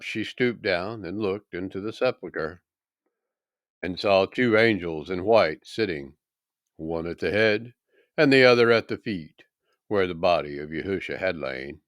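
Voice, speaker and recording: Male scripture narrator: solemn, deliberate, expressive delivery, clean resonant tone, tight dry sound. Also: fairly low pitch, smooth, dry recording. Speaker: scripture reader. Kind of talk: scripted narration, dramatic Bible reading.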